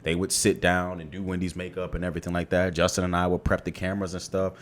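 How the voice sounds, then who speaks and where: A man's voice: low voice, flat delivery, fast talker. A man chatting casually on a podcast, telling loose, profane stories. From Baltimore area. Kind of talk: casual chat.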